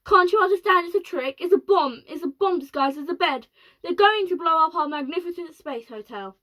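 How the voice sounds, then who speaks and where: Woman putting on a snappish rasping president. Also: sits high, sing-song, moderate pace, pitched above the performer's own voice. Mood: irate. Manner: snappish, barking. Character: rude rasping male president.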